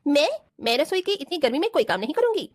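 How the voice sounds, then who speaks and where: A woman's voice: high voice, very animated, quick pace, dry recording. A woman playing spoiled, work-shy young rich daughters-in-law in a cartoon family drama, in a whiny, complaining manner. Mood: indignant.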